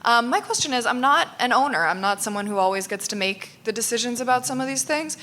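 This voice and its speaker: Woman audience member, loud bright voice, direct question, mic held back. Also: fairly high pitch, animated, fast talker. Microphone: handheld microphone held away.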